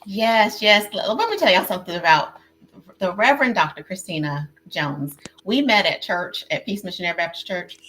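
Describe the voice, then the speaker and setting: Woman host, bright forceful voice, lowish pitch, effusive, filler-heavy live-stream chat. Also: expressive, moderate pace, a little hiss or hum, rough recording. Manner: effusive, repeats herself, lots of fillers. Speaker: host of a live online panel. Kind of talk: casual live-stream hosting. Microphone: video call.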